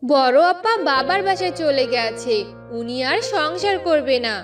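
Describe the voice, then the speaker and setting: A woman's voice: sits high, animated, a little slow, recorded from a distance, faint music behind. A woman voicing a spiteful, bossy young wife in a cartoon fairy tale, with a whiny, scolding manner. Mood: sly.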